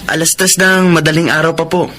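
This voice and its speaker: Humble, higher-voiced young Filipino husband. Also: male voice, flat delivery, brisk, bright, forceful tone. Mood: meek, helpful. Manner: deferential, hesitant. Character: humble, hardworking, polite son-in-law eager to please. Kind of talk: Filipino radio drama acting.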